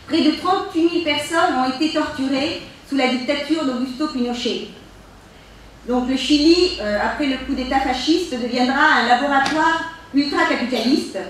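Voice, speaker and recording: A woman's voice: sits high, slight background noise. A woman organizer giving a hesitant introductory speech at a film screening, with many fillers. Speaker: event organizer. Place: cultural center hall. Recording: echoey hall from far off.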